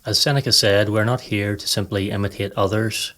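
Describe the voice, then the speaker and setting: Man, fairly low pitch, very even intonation, calm, measured, instructive, scripted meditation narration. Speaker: podcast host and meditation guide.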